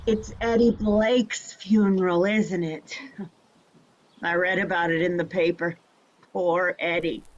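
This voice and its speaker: An actress playing a flippant, teasing retired heroine of sixty-five in a fan-made drama. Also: female voice, on the low side, fairly even intonation, slow pace, lo-fi sound, slight background noise. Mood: wry, weary. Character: brassy, flippant 65-year-old retired heroine, a mother.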